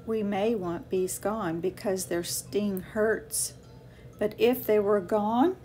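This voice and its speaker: A woman reading a children's picture book aloud with expressive, deliberate phrasing for young listeners. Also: medium pitch, soft background music. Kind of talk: read-aloud children's storytelling.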